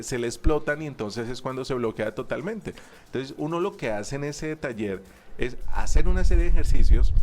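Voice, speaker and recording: A man's voice: mid-range voice, a little hiss or hum. A man, a public speaking instructor talking as a guest in a radio booth, emphatic and stacking repeated parallel phrases. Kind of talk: radio interview guest explaining.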